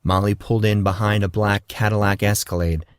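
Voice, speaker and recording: A man's voice: on the low side, level tone, fairly quick, clear, resonant tone, dry recording, clean studio sound. A man reading scripted fiction as an audiobook narrator.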